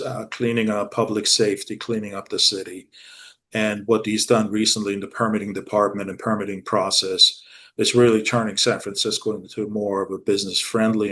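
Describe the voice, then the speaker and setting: Middle-aged man, lowish voice, measured business talk, guest in interview. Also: a bit bright. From Germany. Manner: measured, businesslike, frequent "uh" fillers. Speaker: commercial real estate managing director, guest.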